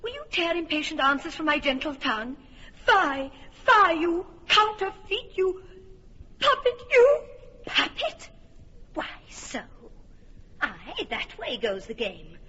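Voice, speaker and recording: A woman's voice: sits high, big pitch swings, slow pace, sharp tone, long reverb tail, highs cut off, full character voice. An actress playing Helena, a jilted young Athenian woman, speaking impassioned verse in a radio drama. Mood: furious. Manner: impassioned verse, fierce and wounded. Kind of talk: classic stage radio drama.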